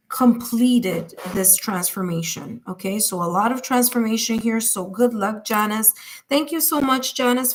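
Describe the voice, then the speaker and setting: Chatty Puerto Rican woman reading tarot. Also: medium pitch. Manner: chatty, repetitive, lots of fillers. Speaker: tarot reader.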